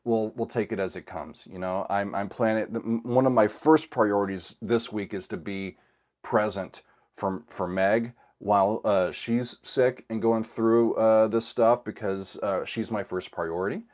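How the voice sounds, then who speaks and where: A man's voice: on the low side, fairly even intonation, measured pace, a little mellow. A middle-aged man hosting a casual livestream, a little scratchy from a cold, rambling with fillers and restarts. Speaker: host of a music reaction channel. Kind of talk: casual livestream chat with viewers.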